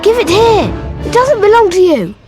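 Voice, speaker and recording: A plucky young schoolboy wizard from a video game. His voice is very high and animated, with a plain, earnest delivery. Faint music runs behind him. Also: normal speed. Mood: indignant.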